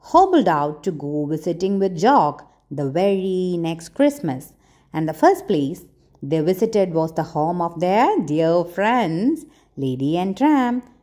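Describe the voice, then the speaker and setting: A woman reads a children's storybook aloud in a soft, mellow voice. Her pitch rises and falls a great deal as she takes her time over each phrase. The delivery is expressive, with dramatic pauses for young listeners. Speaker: storyteller, channel host.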